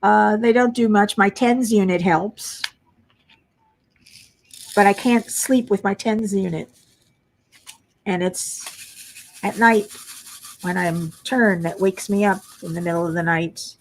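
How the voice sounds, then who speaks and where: A middle-aged woman with a low voice, tired from lack of sleep, chatting casually to viewers as host of a crafting livestream. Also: fairly even intonation, slow pace, long pauses, slight background noise, poor audio. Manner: chatty, muttering while searching for pieces. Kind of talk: casual live chat while diamond painting.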